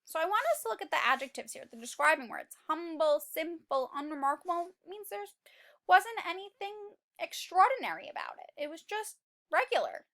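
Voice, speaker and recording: Animated, high-pitched woman reading to children. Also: a little fast. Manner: expressive, questioning, explains words simply. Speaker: children's read-aloud teacher. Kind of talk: interactive picture-book read-aloud for young children.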